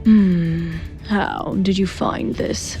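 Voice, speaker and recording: A woman voicing a cavalier older king and cold father in an audio drama, slow, haughty and unapologetic. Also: lowish voice, steady tone, over loud music. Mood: displeased.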